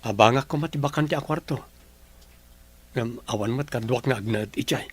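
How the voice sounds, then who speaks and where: A man's voice: mid-range voice, normal speed, slightly rough, natural voice. A man with an Ilocano Filipino accent playing a troubled, earnest young man in a radio drama. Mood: earnest, subdued.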